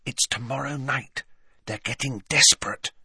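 A man voicing a bookish, bossy teenage schoolgirl in an audiobook, brisk, scornful and exasperated. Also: mid-range voice, fairly even intonation, gravelly, pushed and bright, husky, no room sound, voice pushed up, full character voice. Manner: scornful, brisk, know-it-all.

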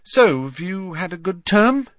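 A man's voice: sits high, very animated, measured pace, no room sound, clean studio sound, pitched above the performer's own voice. A man playing an awkward, friendly teenage schoolboy in an audiobook, plain-spoken and a little hesitant. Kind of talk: audiobook character voice.

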